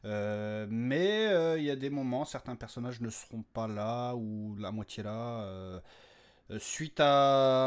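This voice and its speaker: Male creator-host, lowish voice, informal and hesitant, rambling updates straight to his listeners. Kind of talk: casual, unscripted chat to listeners.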